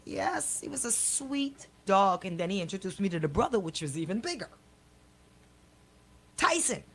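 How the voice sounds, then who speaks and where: A woman's voice: mid-range voice, big pitch swings, normal speed, slight background noise. A middle-aged woman with a Caribbean accent, hosting casual radio chat in a colloquial, emphatic storytelling way. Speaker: radio show host.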